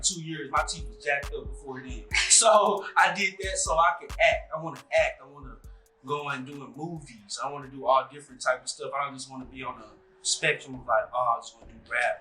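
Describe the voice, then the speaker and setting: A rambling, easygoing man in his thirties chats in an interview, his voice bright and forward. The room rings with echo, and faint music plays underneath. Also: medium pitch. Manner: relaxed, rambling, lots of "like" and "you know". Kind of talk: casual interview chat.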